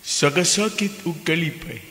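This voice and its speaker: A man playing a priest officiating a wedding in a radio drama, ceremonial and measured. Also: a little high, pushed and bright. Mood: solemn. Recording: long hall-like church reverb.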